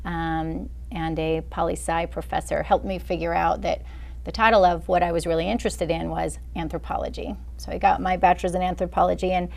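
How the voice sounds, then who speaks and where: A middle-aged woman from Idaho, an associate director, answering interview questions in a measured way with many fillers. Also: fairly low pitch, vocal fry, darkish tone. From Boise, Idaho. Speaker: associate director of student services. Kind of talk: campus interview.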